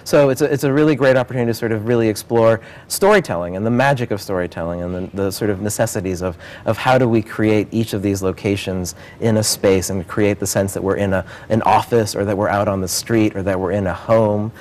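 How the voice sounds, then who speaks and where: A man with a fairly low voice talks as a theater director being interviewed. He sounds earnest and enthusiastic, explaining his work in a conversational, promotional way. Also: fairly even intonation, fast, hardly any pauses, darkish tone, slight background noise. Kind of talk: promotional interview about a stage production.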